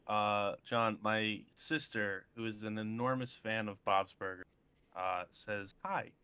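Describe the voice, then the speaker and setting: A man co-hosting a live stream who passes along a greeting in a low-key, offhand way. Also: fairly even intonation, slow pace, bright, forceful tone, smooth, no room sound. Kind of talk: casual live-stream chat.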